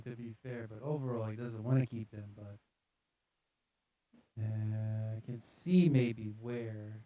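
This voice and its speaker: A man hosting a talk show with casual, loosely improvised, hesitant commentary. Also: medium pitch. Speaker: show host. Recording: dull, roomy sound.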